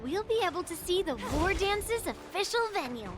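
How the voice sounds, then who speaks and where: A woman playing a confident, impatient young girl mentor in an anime video game, brisk and bossy. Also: very high voice, expressive. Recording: game music behind the voice.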